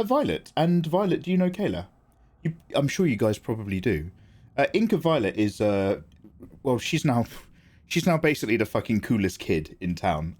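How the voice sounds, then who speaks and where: A man's voice: mid-range voice, darkish tone. A man in his late thirties streaming a video game live, chatting casually with viewers and swearing freely. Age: 30s. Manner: chatty, frequent swearing, greets chat by name. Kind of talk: casual live-stream chat while gaming.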